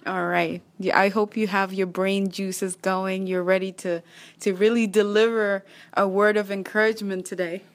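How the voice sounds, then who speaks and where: A young Kenyan woman hosts a faith podcast with a Kenyan accent. She talks in an upbeat, encouraging way at an everyday pitch. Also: flat delivery, moderate pace. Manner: upbeat, encouraging, praise-filled. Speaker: podcast host. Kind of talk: faith-based podcast chat.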